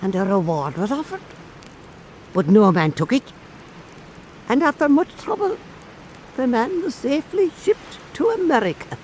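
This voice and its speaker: An actor playing an earnest old islander who tells folk anecdotes in a measured radio drama cadence. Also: medium pitch, big pitch swings, a little mellow, a little hiss or hum, rough recording. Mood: grave, storytelling. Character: old island storyteller, earnest, compassionate toward wrongdoers.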